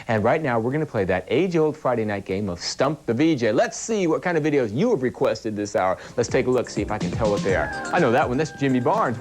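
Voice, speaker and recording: Chatty 1980s music-video VJ man. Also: mid-range voice. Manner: chatty, upbeat, ad-libbing between videos. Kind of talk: 1980s live TV music host patter.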